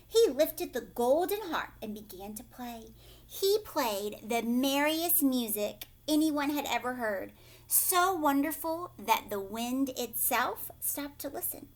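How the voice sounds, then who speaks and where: A woman teacher reading a storybook aloud to young children, dramatic and expressive, with hushed questioning asides. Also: fairly high pitch.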